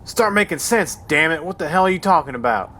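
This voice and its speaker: Man acting an excitable captive western hero, swooping voice. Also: on the high side, fairly quick, slight background noise. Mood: indignant. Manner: excitable, blustering. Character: hot-tempered, indignant captive man in a western. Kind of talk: hobby radio horror western acting. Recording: loud music behind.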